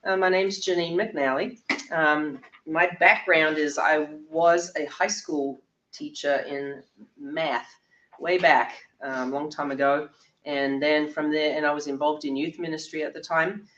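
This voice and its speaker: Croaky older woman with a cold, distant. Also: fairly even intonation, a little slow, fairly bright tone, slight background noise. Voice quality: low, croaky. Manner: chatty, self-deprecating, frequent ums and throat clearing. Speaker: workshop speaker. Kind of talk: conference workshop talk. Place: echoey conference room. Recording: roomy, distant, dull recording.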